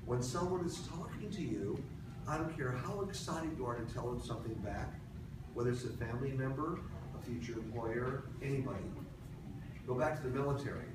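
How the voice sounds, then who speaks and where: Man, fairly high voice, direct emphatic coaching, repeats key points, echoey room. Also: brisk. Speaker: speaker at a workshop for veterans. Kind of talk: coaching talk to a live audience. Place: large echoey room with an audience. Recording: roomy, distant, noisy.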